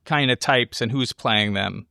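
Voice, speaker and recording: A man's voice: mid-range voice, a little slow, gravelly, bright, forceful tone, dry recording, studio quality. A man hosting a casual film discussion, thinking aloud in rambling, speculative explanations. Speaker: podcast host analyzing a film.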